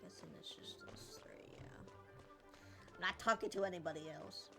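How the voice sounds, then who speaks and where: A woman's voice: lowish voice, big pitch swings, normal speed. A woman streaming a game with casual, playful, exclamatory asides. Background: game music.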